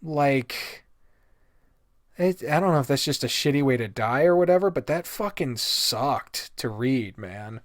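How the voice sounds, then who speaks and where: A man's voice: mid-range voice, animated, measured pace, no echo. Blunt, sweary man ranting, dry close sound. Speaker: video host. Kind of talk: casual opinionated review rant.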